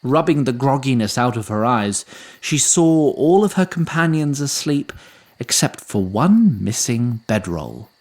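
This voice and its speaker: Measured man narrating fantasy. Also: mid-range voice, big pitch swings, darkish tone. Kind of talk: scripted fantasy story narration. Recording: faint ambient noise.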